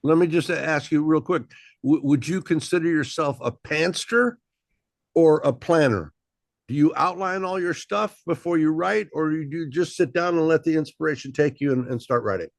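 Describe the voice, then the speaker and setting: A man's voice: on the high side, moderate pace, gravelly, dry recording, clean studio sound. A man hosting a casual interview, easygoing and loose with fillers. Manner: relaxed, many fillers and repeats. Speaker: host.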